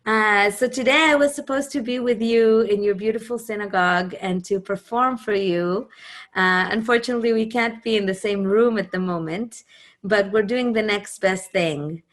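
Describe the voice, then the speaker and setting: A woman from Israel whose first language is Hebrew, a musician talking casually over a video call, searching for words with many pauses and restarts. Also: medium pitch, fairly bright tone.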